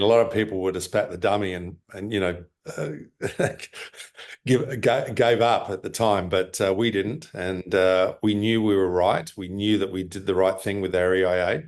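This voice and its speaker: A man with a very low, deep voice speaks as a mining executive in a remote interview. He talks in a halting way, full of "um"s and restarts. He is heard over a video call. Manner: halting, many fillers and restarts. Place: office in Lima.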